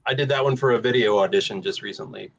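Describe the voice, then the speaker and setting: A man's voice: lowish voice, quick pace, vocal fry, highs cut off, a little hiss or hum. A man about to turn fifty chatting casually as a podcast guest, jokey and laughing often. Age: 40s. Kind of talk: casual group chat.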